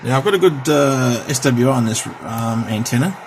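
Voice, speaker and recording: A man's voice: mid-range voice, animated, normal speed. A middle-aged man casually demonstrating and testing a radio, rambling and repeating himself as he goes. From Australia. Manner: rambling, chatty, repeats test counts. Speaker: radio hobbyist vlogger. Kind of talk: casual hands-on equipment demo. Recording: home recording with noticeable background noise.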